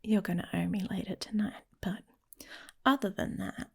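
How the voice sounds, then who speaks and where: A woman playing a guarded, teasing young female werewolf in an intimate ASMR roleplay, with a wry, casual manner and a breathy, husky voice that often drops to a whisper. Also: medium pitch, normal speed. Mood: teasing, prickly.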